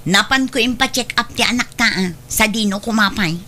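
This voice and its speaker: A woman's voice: fairly high pitch, a little fast, lightly acted. A woman playing an emotional, long-suffering mother full of hurt in an audio drama. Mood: upset, pleading. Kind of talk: Ilocano audio drama acting.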